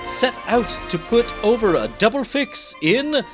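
A man putting on an English accent as a theatrical, measured narrator in a comic dramatic reading. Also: extremely high pitch, expressive, a bit bright, faint music behind, voice pushed up, heavily acted. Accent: put-on English.

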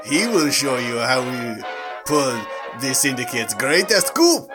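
A scheming comic-book syndicate boss put on by a man talks slowly and deliberately. The pitch is lively and almost singsong, with music in the background. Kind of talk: comedic dramatic comic-book reading.

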